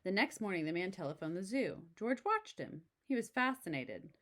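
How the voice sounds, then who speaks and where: A woman reading a children's picture book aloud in an expressive way, with casual asides. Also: medium pitch, moderate pace, noisy background. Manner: expressive, exclaiming story delivery. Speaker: storybook reader.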